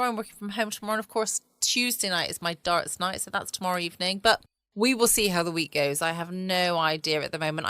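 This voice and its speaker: A woman with an English accent hosting a podcast, chatting casually in a relaxed, rambling bedtime style. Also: mid-range voice, clean tone, dry recording. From United Kingdom. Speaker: podcast host. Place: at home, dry close recording.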